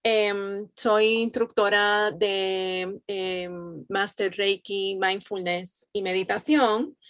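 A woman from Puerto Rico with a Puerto Rican Spanish accent, a meditation instructor introducing herself calmly on a podcast, with frequent fillers. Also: medium pitch, very slow, no echo, studio quality. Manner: calm, gentle, with frequent fillers.

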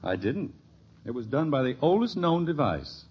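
A wry suburban father from a vintage radio comedy. His medium-pitched voice moves around freely and teases drily. The recording is muffled and old. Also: big pitch swings, normal speed, husky, heavily acted. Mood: wryly amused. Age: middle-aged. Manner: dry, teasing. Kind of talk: 1950s radio sitcom acting.

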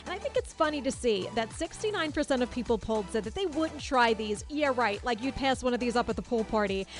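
High-pitched woman hosting a radio segment. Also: lively intonation, brisk, faint music behind. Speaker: host of a radio poll segment. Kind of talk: scripted upbeat radio segment.

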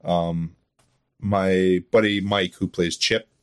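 A man with a fairly low voice talks as a guest on a casual interview show. He explains things informally, in a slightly halting, storytelling way. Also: steady tone, clear, resonant tone. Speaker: interview guest, audio drama writer.

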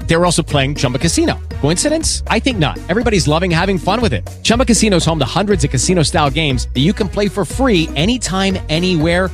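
A man reading a radio advertisement in a chatty, brisk sales-pitch manner. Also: big pitch swings, faint music behind. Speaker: ad announcer. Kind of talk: upbeat radio ad read.

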